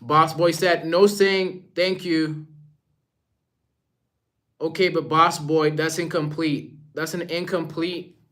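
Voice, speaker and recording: A man's voice: fairly high pitch, flat delivery, slow, pauses often, pushed and bright. A young man from Rwanda talking casually and informally to his live-stream viewers, using slang and often repeating himself.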